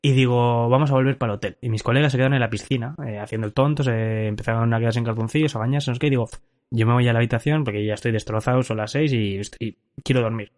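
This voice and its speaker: Man, podcast host, fast pace, laughing, casual storytelling. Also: mid-range voice. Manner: laughs often, lively anecdote telling with swearing.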